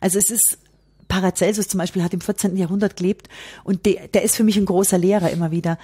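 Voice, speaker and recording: A woman's voice: medium pitch, steady tone, fast, warm tone, husky. A middle-aged woman, explaining from experience as an interview guest, often using questions and quoted dialogue. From Bavaria, Germany. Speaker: podcast guest, herbalist.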